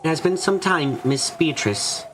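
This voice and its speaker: Man voicing a slow, stiffly polite heavenly lady. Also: a little high, animated, over music. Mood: formal, composed. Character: formal, courteous female visitor from heaven, measured and scholarly. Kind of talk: visual novel fan dub acting.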